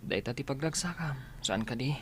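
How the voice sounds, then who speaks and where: An actor playing a gentle, determined, ailing schoolboy and devoted son in a radio drama. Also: male voice, mid-range voice, expressive, normal speed, slightly rough. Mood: subdued, determined. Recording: faint background ambience and noise.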